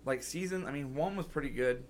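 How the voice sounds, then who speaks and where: A man's voice: medium pitch, fairly quick. A man co-hosting a podcast in casual chat, his voice scratchy from being sick, rambling with lots of "like" and restarts. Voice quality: gravelly. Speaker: podcast co-host.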